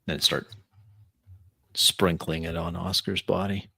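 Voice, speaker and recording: Male, low and forceful voice, deliberate first-person tabletop roleplay narration. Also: little pitch movement, creaky, husky. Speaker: player in a tabletop roleplaying game.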